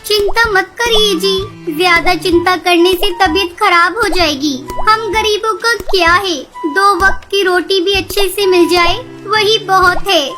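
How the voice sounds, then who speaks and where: A woman voicing a humble, anxious mother bird with an earnest manner in a Hindi animated story. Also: extremely high pitch. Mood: worried. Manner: pleading, earnest. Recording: loud music behind the voice.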